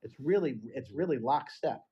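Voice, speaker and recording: A man's voice: mid-range voice, animated, moderate pace, highs cut off, noisy background. A man hosting a podcast, giving an earnest and emphatic spiritual teaching talk. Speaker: podcast host.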